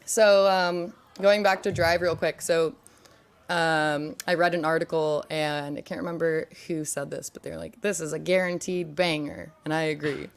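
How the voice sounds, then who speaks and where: A young woman hosts a relaxed online chat with a lowish voice and plenty of gravelly fry. She talks in a chatty, upbeat way, sprinkling "like" and "um" through her questions. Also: normal speed. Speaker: host. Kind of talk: casual live-stream hosting.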